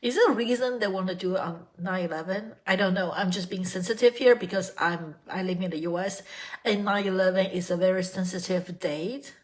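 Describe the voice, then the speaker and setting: A woman hosting a casual reaction chat, her voice a little hoarse. She rambles and corrects herself mid-sentence in a loose, conversational way. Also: mid-range voice, brisk. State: slightly hoarse. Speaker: channel host.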